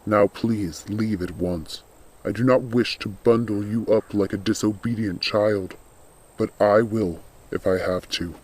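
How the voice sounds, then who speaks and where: A man playing a loyal undead skeletal servant in a storybook, speaking in a grave, earnest, measured and protective way. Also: lowish voice, fairly even intonation, husky. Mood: firm, protective.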